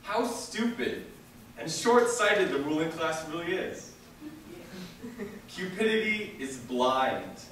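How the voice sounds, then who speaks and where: A man's voice: a little high, big pitch swings, fairly bright tone. Echoey young man delivering dramatic oratory. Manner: declamatory, dramatic pauses and emphasis. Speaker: reader of a historical speech. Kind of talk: theatrical political oratory. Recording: echoey hall from far off, faint noise.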